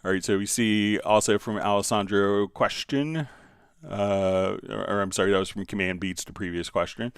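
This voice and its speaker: Male tutorial host, lower-pitched and smooth, close dry sound, casual step-by-step instructor delivery. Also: steady tone, normal speed, no room sound. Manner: explanatory, step-by-step. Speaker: livestream host, software instructor. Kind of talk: casual software tutorial, answering viewer questions.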